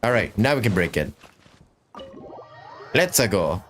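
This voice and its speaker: A female game streamer chats casually in an unusually deep voice. Her intonation is lively and varied, and the mic carries some noise and clicking. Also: takes it slowly. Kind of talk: casual live gameplay commentary. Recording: clearly noisy mic with clicks.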